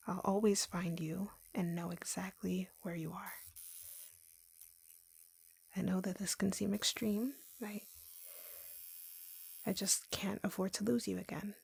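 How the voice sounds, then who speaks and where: A woman playing an obsessively lovesick young tattoo artist in an intimate roleplay audio, whispering gently with sweet, possessive menace. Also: medium pitch, exaggerated voice. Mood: tender, obsessive. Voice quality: breathy, whispery, creaky.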